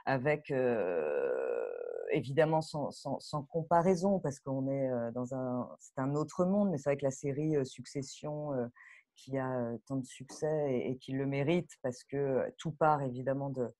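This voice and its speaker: A woman speaking casually and spontaneously as a guest director on a panel, animated and digressive in the way she talks. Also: extremely low pitch, unhurried.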